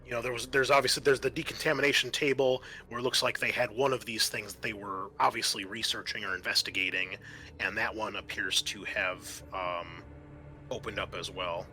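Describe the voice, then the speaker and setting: A man working as game master, improvising halting, descriptive narration for a tabletop sci-fi horror game. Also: lowish voice, fairly even intonation, pushed and bright.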